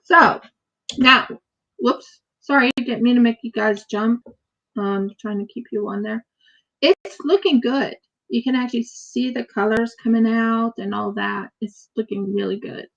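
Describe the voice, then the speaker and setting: American lady rambling on a craft livestream, real slow talker, bit muffled mic. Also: a little high, vocal fry, fairly bright tone. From United States. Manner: rambling, repeats words. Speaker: craft streamer. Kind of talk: casual chat while crafting on a livestream.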